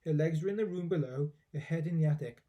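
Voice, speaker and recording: A man reading a children's story aloud in an expressive storybook narration style. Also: on the high side, quick pace, lo-fi sound.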